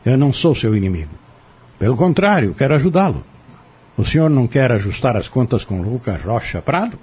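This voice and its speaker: A man's voice: medium pitch, sing-song, brisk, darkish tone, slightly rough, lo-fi sound. An actor in an audio drama playing an insistent, scheming man who goads and persuades in formal wording, bent on exposing a corrupt family. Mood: urgent, persuasive.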